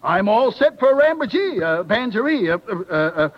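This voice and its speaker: A man's voice: high-pitched, very animated, brisk. An actor playing a flustered, bossy but kindly old dwarf who stammers and muddles his words in a 1930s radio play. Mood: eager. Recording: muffled 1930s radio recording, music behind.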